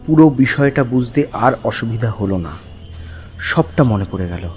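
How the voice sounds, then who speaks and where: A man as Bibhuti, earnest horror narrator, mid-pitched. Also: a little mellow, lightly acted. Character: Bibhuti, earnest male narrator shaken by the supernatural. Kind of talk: first-person horror story narration.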